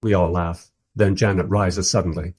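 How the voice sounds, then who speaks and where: An actor playing a mild, polite time-travelling interviewer who narrates his story wryly in a radio play, with a husky voice. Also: deep voice, fairly even intonation, moderate pace, darkish tone. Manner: wry, polite. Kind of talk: first-person radio play narration and dialogue.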